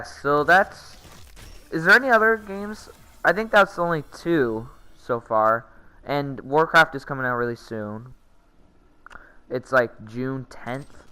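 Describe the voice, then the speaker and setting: A man's voice: medium pitch, bright, forceful tone, clear, resonant tone, poor audio. A man giving casual, rambling commentary as a gaming vlogger, often hesitating and correcting himself in slangy speech.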